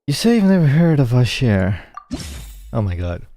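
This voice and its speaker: A man's voice: mid-range voice, big pitch swings, moderate pace, warm tone, no echo. A man doing casual let's play commentary, hesitant and muttering to himself. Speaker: game streamer.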